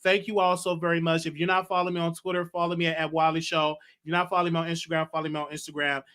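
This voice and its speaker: A man's voice: on the high side, flat delivery, rapid-fire, pushed and bright, smooth. A man hosting a live call-in show, laid-back, answering callers with short murmured agreements and thanking them on the way out. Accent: Texan. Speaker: host taking callers.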